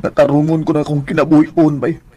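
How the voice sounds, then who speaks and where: An actor plays an intense, wounded man nursing a grudge. His voice sits a little high with a husky edge, delivered as emotional radio drama. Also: flat delivery, fast, mellow, no room sound, natural voice. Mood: frustrated, resolute. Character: wounded, resentful man named Jerry, a returned overseas success.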